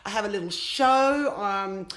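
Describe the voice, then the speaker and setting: A woman's voice: mid-range voice, unhurried, a bit bright. Chatty middle-aged woman, echoey room. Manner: chatty, upbeat and self-deprecating. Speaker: snake handler and reptile show presenter. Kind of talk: casual interview answers.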